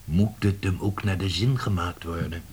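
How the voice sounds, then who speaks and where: Husky, cajoling company director. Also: male voice, low-pitched, darkish tone. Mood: earnest, insistent. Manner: persuasive, emphatic, with dramatic pauses and repetition. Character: blustering, cajoling male publishing director of old family firm. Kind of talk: radio play acting.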